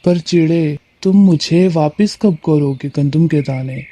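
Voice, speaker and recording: Guy doing a menacing cartoon crow, really dark muted voice, bit high for a man, deliberate and warning. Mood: stern, warning. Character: menacing male crow lending grain on conditions. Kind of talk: Hindi moral story cartoon dubbing.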